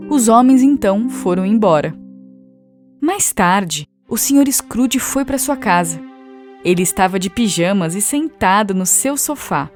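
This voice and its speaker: Woman narrating, slightly high voice over music. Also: a little mellow. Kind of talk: scripted children's story narration.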